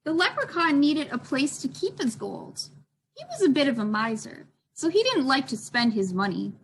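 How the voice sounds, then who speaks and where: A woman's voice: high-pitched, sing-song, normal speed, low-quality recording. A woman librarian reading aloud for a children's storytime, expressively addressing young listeners.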